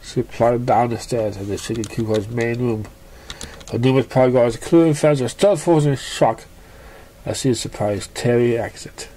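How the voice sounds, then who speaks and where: Mellow storybook narrator, stumbling a little. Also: mid-range voice, moderate pace, a little hiss or hum, rough recording. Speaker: fan fiction narrator. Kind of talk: scripted storybook narration, reading aloud.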